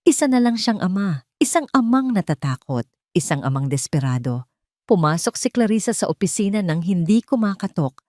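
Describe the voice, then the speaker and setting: A woman's voice: medium pitch, sing-song, normal speed, mellow, no room sound, studio quality. A woman with a Filipino accent narrating a scripted dramatic story.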